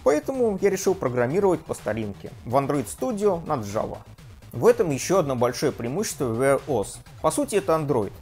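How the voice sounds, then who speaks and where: Man in his 30s, tech vlog host, animated delivery, slightly high voice, soft music under. Reads a script at home. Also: fairly quick. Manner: lively, self-mocking, trips on pronunciation. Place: home room with desk and gear.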